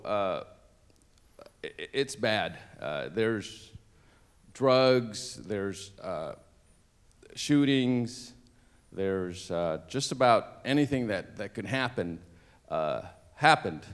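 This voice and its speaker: A middle-aged man from Cambodia, a native Cambodian speaker, telling his life story on a church stage into a handheld mic, halting with many fillers and restarts. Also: fairly low pitch. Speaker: interview guest. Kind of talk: personal testimony on stage.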